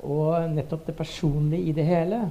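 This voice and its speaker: An older man with a Norwegian accent talking unscripted on a theatre stage, halting as he searches for words. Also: a little high, fairly even intonation, mellow, lo-fi sound. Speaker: theatre director and co-writer. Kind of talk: unscripted onstage conversation.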